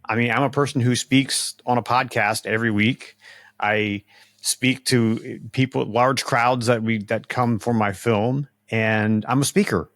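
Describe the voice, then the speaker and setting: A middle-aged man hosting a podcast, speaking slowly and deliberately in a casual conversation. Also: medium pitch, steady tone, fairly bright tone, no echo, clean studio sound. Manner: slow, deliberate speech, says he talks slowly to avoid stammering. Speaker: podcast host.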